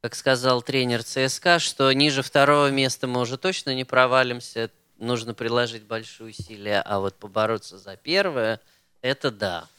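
A man's voice: medium pitch, steady tone, normal speed, pushed and bright, smooth, no echo. A man answering as a guest in a football chat, giving short, dry, joking replies. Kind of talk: casual chat about football.

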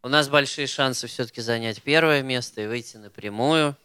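A man's voice: medium pitch, fairly quick, bright, forceful tone, smooth, no room sound. A man answering as a guest in a football chat, giving short, dry, joking replies. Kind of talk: casual chat about football.

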